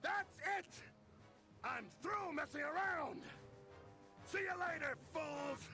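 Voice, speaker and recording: A cruel cartoon game hitman with a creaky, gravelly voice. He drags out gloating threats very slowly, with music underneath. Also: extremely high pitch, heavily acted. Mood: menacing. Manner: slow, gloating, shouted threats. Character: menacing, sadistic male hitman villain, gloating. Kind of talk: cartoon video game villain acting. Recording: music behind the voice.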